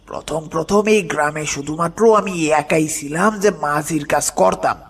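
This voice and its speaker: A man playing an older village boatman, frightened and hesitant, slowly telling a dramatic horror story with a creaky voice. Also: a little high, exaggerated voice. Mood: haunted, tense. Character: frightened village boatman uncle recounting his haunted past. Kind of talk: horror cartoon story narration. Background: music.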